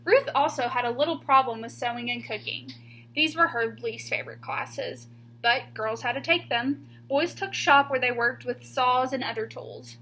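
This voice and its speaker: A woman with a high voice reads a picture book to children in a teacher's expressive style. She pauses deliberately and leans on key words. There is some faint noise and hum behind her. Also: poor audio. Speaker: teacher reading a book aloud.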